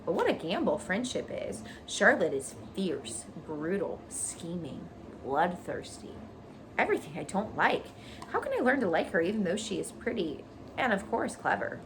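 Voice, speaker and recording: A woman's voice: on the low side, sing-song, moderate pace, noisy background. A woman voicing an innocent young male pig in a children's storybook, squeamish, anxious and hesitant. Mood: worried. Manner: naive, hesitant. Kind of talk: children's storybook read aloud.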